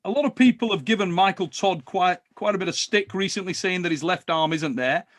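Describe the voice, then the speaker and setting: Casting: man hosting a live call-in, matey and easygoing, slightly high voice. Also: fairly quick, fairly bright tone. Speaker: host. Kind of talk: casual live chat.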